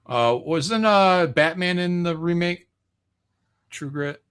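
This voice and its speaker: A man's voice: high-pitched, animated, slow, fairly bright tone, smooth. A man hosting a livestream, chatting casually and reading out viewer comments in an irreverent, joking, profane way. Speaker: livestream host.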